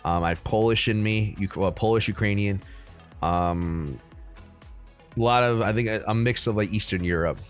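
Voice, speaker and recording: A young American man hosts a casual livestream from his room at home, chatting with viewers in a loose, rambling way full of ums and likes. His voice sits a little low, and faint music plays behind him. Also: normal speed. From United States. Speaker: crypto livestream host.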